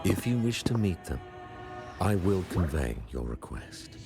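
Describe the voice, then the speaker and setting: A man playing a stately older high priest in a fantasy video game, speaking in grave, formal, measured oratory. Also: sing-song, music in the background, heavily acted. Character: solemn, stately high priest of a religious order. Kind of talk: theatrical fantasy game dialogue.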